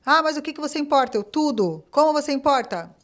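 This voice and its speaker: Woman, lively podcast guest, slightly high voice, storyteller who acts out dialogue. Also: fast. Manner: animated, acts out quoted dialogue, many fillers. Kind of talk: casual interview storytelling.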